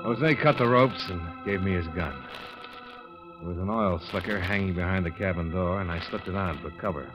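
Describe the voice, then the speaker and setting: An actor playing a wry, tough, clipped-talking investigator in an old-time radio detective drama. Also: male voice, deep voice, normal speed, music in the background, natural voice. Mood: grim, terse. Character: wry, hard-boiled insurance investigator, male, tough and needling. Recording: dull, noisy old radio recording.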